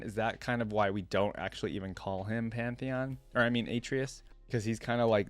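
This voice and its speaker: A man hosting a reaction video, reading a story aloud and adding casual, musing asides. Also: lowish voice.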